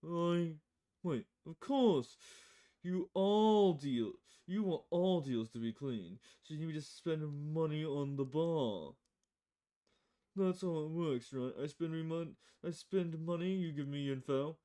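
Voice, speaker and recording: A woman plays a male private eye from a noir visual novel. The voice is dark and mellow, with a grumbling, impatient manner as he fishes for information. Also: sits low, animated, slow. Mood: exasperated. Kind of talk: visual novel character voice, film noir dialogue.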